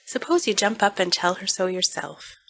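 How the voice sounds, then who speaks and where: A woman playing a kindly, gentle minister's wife with a soothing manner in an audiobook dramatization. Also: medium pitch, lively intonation, normal speed, creaky. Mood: merry. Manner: soothing, reassuring.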